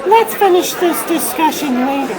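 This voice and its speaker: A man's voice: very high-pitched, lively intonation, moderate pace, crowd noise. A man voicing a blunt, loyal teenage girl in a comic dub, with a sassy, scolding manner. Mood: earnest.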